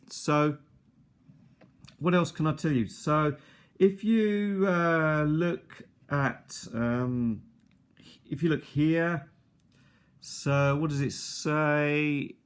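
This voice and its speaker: A middle-aged man giving an unscripted, casual show-and-tell in a hesitant, rambling, conversational way. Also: fairly high pitch. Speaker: video host. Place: indoors at a kitchen table. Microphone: handheld or nearby tablet's built-in mic.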